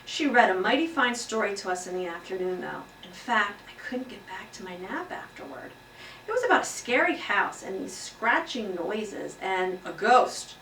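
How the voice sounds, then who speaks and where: A woman voicing a small, earnest pet hamster who tells his classroom story with expressive, emphatic delivery. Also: mid-range voice, moderate pace. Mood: excited, spooked. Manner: expressive, exclaiming, emphatic read-aloud delivery. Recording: roomy echo, distant mic, faint noise.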